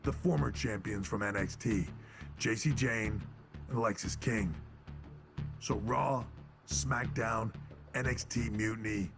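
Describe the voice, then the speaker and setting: An actor playing a firm, measured wrestling executive making a formal, deliberate announcement in a video game. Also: male voice, on the low side, gravelly, over music. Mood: formal, resolute. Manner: deliberate, official.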